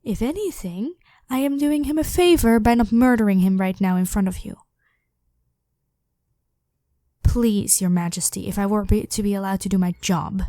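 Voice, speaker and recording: A woman's voice: mid-range voice, fairly quick, few pauses, mellow, dry recording, close to an everyday voice. A woman voicing a devoted, protective royal knight, head of the guard, with formal, deferential speech in a scripted ASMR roleplay. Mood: firm, indignant. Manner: formal, deferential address to a monarch.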